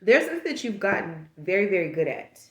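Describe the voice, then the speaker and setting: A woman's voice: lowish voice, big pitch swings, quick pace, a bit bright, echoey room. A woman with a stuffed-up nose giving a casual tarot reading, musing aloud and rambling through possibilities. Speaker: tarot reader.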